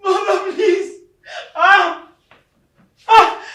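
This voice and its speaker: A woman's voice: mid-range voice, slow, echoey room, lightly acted. A woman acting a grief-stricken young princess in a melodramatic film, with a Nigerian accent and a pleading, wailing delivery. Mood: wailing, desperate.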